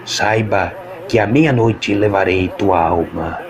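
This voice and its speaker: A man's voice: on the low side, crowd noise. A man with a Brazilian accent voicing a sinister, solemn man who pronounces a curse in an audiobook horror story. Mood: solemn, menacing. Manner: slow, ominous, solemn.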